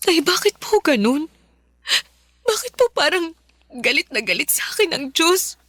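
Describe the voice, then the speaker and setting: A woman's voice: extremely high pitch, very animated, normal speed, a bit bright, no echo, studio quality, full character voice. An actress in a Filipino radio drama playing a grieving, worn-down widowed mother who speaks in a pleading, emotional, respectful way. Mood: anguished.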